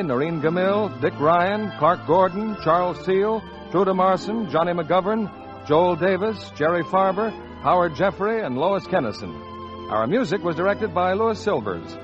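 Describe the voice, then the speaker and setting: An American man reading scripted announcements and sponsor pitches as a 1940s radio announcer, on a vintage radio recording. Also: lo-fi sound.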